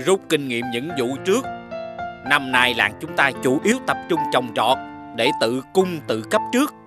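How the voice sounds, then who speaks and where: An actor playing a responsible, earnest village leader in an animated folktale, measured and formal. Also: male voice, mid-range voice, natural voice. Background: prominent music.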